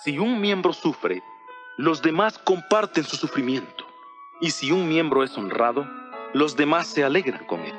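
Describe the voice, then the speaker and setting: Solemn apostle, music behind. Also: male voice, a little high, animated, a bit bright. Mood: earnest, explaining. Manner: solemn, measured, rhetorical. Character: earnest male apostle Paul instructing his church. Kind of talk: dramatized audio Bible reading. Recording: voice over background music.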